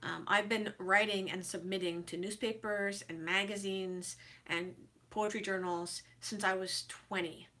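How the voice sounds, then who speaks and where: A woman's voice: medium pitch, fairly even intonation, unhurried, few pauses, sharp tone. Congested chatty woman in her forties. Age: 40s. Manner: chatty, self-deprecating, many fillers and restarts. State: congested from a head cold. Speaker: author vlogger. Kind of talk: casual advice vlog to camera.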